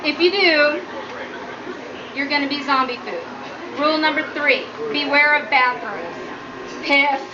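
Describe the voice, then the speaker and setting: A woman's voice: a little slow, pauses often. A woman giving a humorous prepared speech as a student, with a nervous, giggly delivery. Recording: muffled low-quality audio, noticeable background noise.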